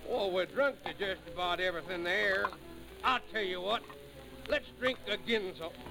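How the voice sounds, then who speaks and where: An actor playing a boisterous, teasing backwoods drinking buddy with a rural Southern US accent, in old radio drama style. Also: male voice, high voice, normal speed, vocal fry, over music, poor audio. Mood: teasing, tipsy. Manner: rowdy, folksy backwoods dialect.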